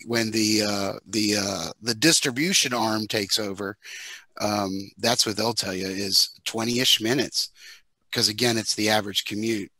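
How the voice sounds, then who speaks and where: Bright, forceful man, low-pitched, halting delivery. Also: dry recording. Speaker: podcast producer, panelist. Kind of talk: casual advice on a video panel. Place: living room at home, indoors. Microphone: headset on video call.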